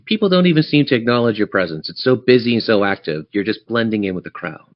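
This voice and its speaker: A man's voice: on the low side, lively intonation, fast talker, no room sound, highs cut off. A man narrating a fantasy tabletop game dramatically as game master.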